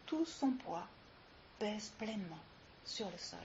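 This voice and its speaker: A woman leading a guided yoga relaxation as instructor, giving soothing, unhurried instructions with long pauses, recorded live. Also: medium pitch, big pitch swings, a little mellow. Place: live session with ambient sound. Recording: muffled live recording with clear hiss.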